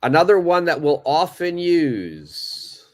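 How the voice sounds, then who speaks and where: A man teaching English in a live online lesson, who speaks slowly and deliberately, repeats key words for his learners, and has a stuffed-up nose. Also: a little high, big pitch swings.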